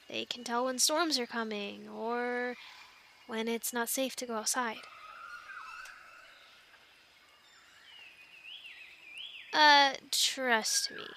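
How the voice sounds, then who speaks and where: A woman's voice: a little high, pushed and bright, a little hiss or hum, exaggerated voice. A woman acting a chatty young island castaway who loves animals, in an intimate, rambling ASMR roleplay with hesitant, earnest explanations. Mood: earnest, uneasy.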